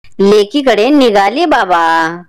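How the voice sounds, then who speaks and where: A kindly old village grandmother, acted out by a woman for a cartoon folk tale. She speaks very slowly, stretching her words in a coaxing, shrewd way. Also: mid-range voice, animated, clean tone, dry recording, clean studio sound. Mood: coaxing, wily. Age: older. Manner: slow, drawn-out, coaxing.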